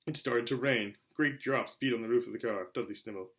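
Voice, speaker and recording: A man reading a storybook aloud as a narrator, in an expressive, theatrical style. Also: medium pitch, brisk. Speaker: audiobook reader.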